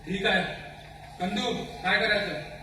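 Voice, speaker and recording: A man playing a soft-hearted forest tribesman in an amateur stage play, coaxing and conciliatory. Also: sits high, steady tone, measured pace. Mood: bargaining. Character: softer-hearted forest tribesman, lenient, bargaining companion. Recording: very echoey noisy hall, far from mic.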